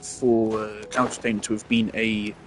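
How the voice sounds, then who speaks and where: Man, low-pitched, casual, thinks aloud, restarts a lot, gameplay commentary. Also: flat delivery, moderate pace, faint music behind. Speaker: gameplay streamer.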